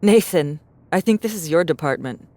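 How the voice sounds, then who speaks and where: An actress playing a bold, wry young woman explorer in an audiobook, with a brisk, commanding manner. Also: low-pitched, expressive, mellow, dry recording. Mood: teasing. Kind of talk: audiobook character acting.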